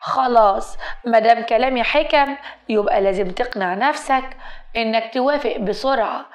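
A woman storyteller plays a scheming Egyptian mother, a doctor in a family drama. She is bossy and insistent, pressing in a slightly high voice. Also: moderate pace, natural voice. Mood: domineering. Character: domineering, scheming doctor mother, pressuring her grown son.

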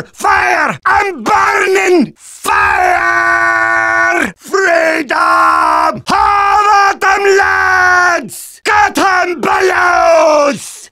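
A man's voice: extremely high pitch, gravelly, sharp tone, exaggerated voice. An actor playing a brash, drunken male demolitions soldier in a video game, with a Scottish accent, bellowing battle cries. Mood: rowdy.

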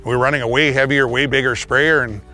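A man's voice: medium pitch, steady tone, fast talker, sharp tone. Middle-aged man, down-to-earth farmer, casual outdoor testimonial on a lapel mic, faint music behind. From Canada. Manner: plain-spoken, casual, with restarts. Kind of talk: testimonial interview. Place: outdoors on a farm yard.

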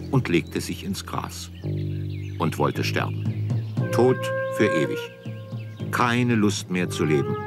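Male narrator for children's audio tales, low voice, expressive melody, music underneath. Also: vocal fry. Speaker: storyteller. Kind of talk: scripted children's story narration.

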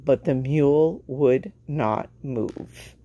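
Female storytime reader, low and gravelly, for children's picture books. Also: takes it slowly, warm tone, dry recording, dull audio. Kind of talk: children's picture-book read-aloud.

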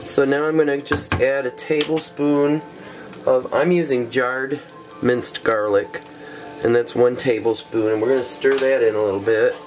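A man with a cold, hoarse and stuffy, walking through a recipe step by step in a relaxed way with frequent ums. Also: mid-range voice, moderate pace, faint music behind. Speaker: cooking show host. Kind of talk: casual cooking demonstration. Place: small home kitchen.

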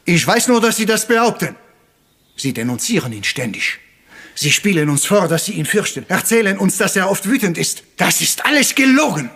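Ceremonious little detective, higher-pitched voice. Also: male voice, big pitch swings, gravelly, fairly bright tone, slightly rough, full character voice. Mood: stern, accusing. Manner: formal, deliberate revelations sprinkled with French phrases. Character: shrewd, ceremonious little Belgian detective, theatrical and stern. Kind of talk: 1980s-style radio crime drama acting.

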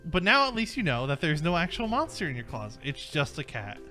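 A man's voice: fairly high pitch, sing-song, brisk, bright, forceful tone. A man hosting and acting in a game roleplay, upbeat and playful with his friends. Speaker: host and roleplayer. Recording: game audio with music underneath.

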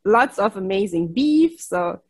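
A woman's voice: medium pitch, lively intonation, unhurried, husky, no echo. A middle-aged woman whose first language is German, chatting cheerfully over a video call on a headset from a home office and laughing often. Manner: cheerful, laughs often, many yes and right tags. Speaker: keynote speaker. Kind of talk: casual video-call chat.

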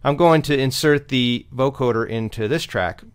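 A man presenting a step-by-step software tutorial in an explanatory, instructional way. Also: medium pitch, moderate pace, smooth.

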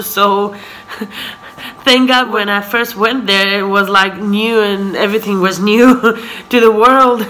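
A woman's voice: mid-range voice, pushed and bright, poor audio. A woman in her twenties whose first language is Hebrew, chatting casually through a question-and-answer vlog, often laughing and saying "so yeah.". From Israel. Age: 20s. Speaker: vlogger. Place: living room, sofa, indoors. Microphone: camera in front at close range.

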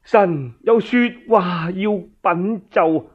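A man as a fawning, slow-spoken scheming minister. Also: high-pitched, husky, dull audio, heavily acted. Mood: sly insinuation. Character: scheming, fawning male court minister, aggrieved.

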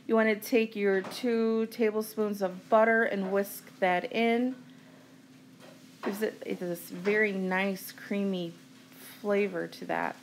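A woman's voice: medium pitch, a little hiss or hum. A woman hosting a cooking vlog, chatting in a friendly way as she walks through each step in her home kitchen. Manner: friendly, explains steps plainly, with fillers. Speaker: cooking vlogger. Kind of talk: casual cook-along narration.

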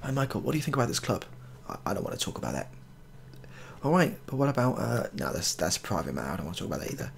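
A man in his thirties with a low, creaky voice comments on a game he is playing. His delivery swings up and down in pitch as he mutters, repeats himself and swears mildly in mock exasperation. It is recorded close in a small room. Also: very animated, racing, a little hiss or hum. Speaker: gaming video creator. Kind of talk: casual joking game playthrough commentary.

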